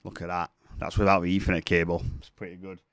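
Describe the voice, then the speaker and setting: A man's voice: low voice, flat delivery, fast, a bit bright, no echo, studio quality. A man with a cold, chatting casually while giving a music production tutorial at his computer. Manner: chatty, rambling, self-correcting. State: has a cold, sniffly. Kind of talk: casual screen-share tutorial.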